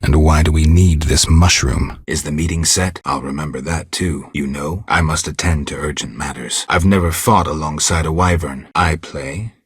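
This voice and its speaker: Gruff stoic monster hunter for a fantasy game, super low raspy voice, deadpan and terse. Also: male voice, normal speed, few pauses, dry recording, studio quality. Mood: businesslike, reserved. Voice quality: gravelly, husky low voice. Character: stoic gruff adult male monster hunter, wry and world-weary. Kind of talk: video game character dialogue.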